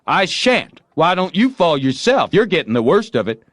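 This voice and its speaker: A man's voice: a little high, sing-song, moderate pace, dry recording, studio quality, pitched above the performer's own voice. A man voicing a defiant, stubborn young boy in a storybook, theatrically playing a haughty knight. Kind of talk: acted storybook dialogue.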